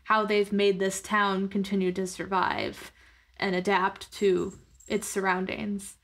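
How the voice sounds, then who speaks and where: A woman takes part in a tabletop roleplaying game. Her voice is average in pitch. She describes her character's view in casual, improvised talk. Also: little pitch movement, slow pace, a bit bright.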